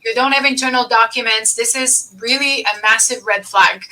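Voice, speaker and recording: A woman's voice: a little high, fairly even intonation, normal speed, pushed and bright, recorded from a distance, rough recording. A woman hosting a business advice podcast, explaining things emphatically and repeating key points. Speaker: podcast host and accountant.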